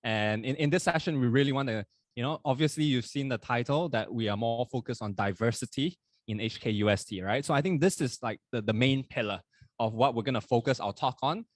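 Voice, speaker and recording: A young man in his twenties, not from Hong Kong, co-hosting a podcast at a live university event. He talks in a relaxed, chatty way, often correcting himself mid-sentence. His voice sits mid-range and is recorded dry and close. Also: medium pitch, a little fast, dry recording. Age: 20s. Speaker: podcast co-host. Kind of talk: casual chat at a live event.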